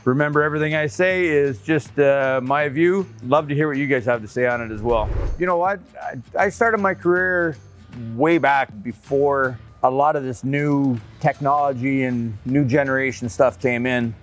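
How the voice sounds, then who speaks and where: A middle-aged trucker talks casually to his viewers, rambling through his asides and laughing often. His voice sits at an everyday pitch, with faint music playing underneath. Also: moderate pace. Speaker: truck driver vlogger. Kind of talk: casual talk to camera.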